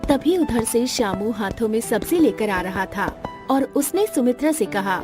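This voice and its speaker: A woman telling a cartoon story in a high, bright-pitched voice, animated and loud when her characters call out. Soft music plays under her. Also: a little slow. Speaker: narrator and voice actor.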